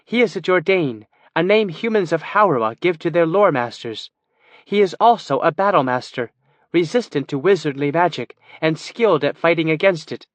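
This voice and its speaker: A man's voice: a little high, animated, normal speed, no echo, pitched above the performer's own voice, lightly acted. A man voicing a proud, stern female elf wizard who speaks in a commanding, cryptic way.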